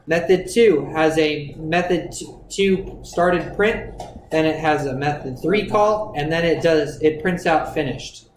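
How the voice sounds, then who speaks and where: A young man teaching a coding class, explaining each step patiently in a classroom. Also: fairly high pitch. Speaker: class instructor. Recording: echoey classroom, light noise.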